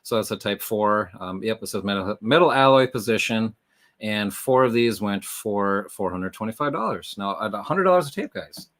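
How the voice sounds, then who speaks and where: A man's voice: fairly low pitch, animated, normal speed, fairly bright tone. A man hosting a livestream in a casual, explanatory style, talking directly to viewers like a conversation. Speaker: livestream host and reseller.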